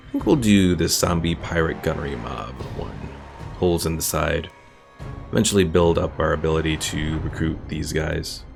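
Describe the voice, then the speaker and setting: Guy with a deep voice doing chill game stream commentary, some music behind him. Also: normal speed, creaky. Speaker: livestreamer, host of a gaming stream. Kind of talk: casual strategy game commentary, chatting with viewers.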